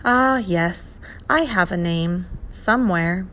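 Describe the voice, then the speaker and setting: A woman's voice: fairly low pitch, slow pace, fairly bright tone. A woman voicing a vague, forgetful pear-shaped male creature in a storybook reading, with an absent-minded, mournful sing-song.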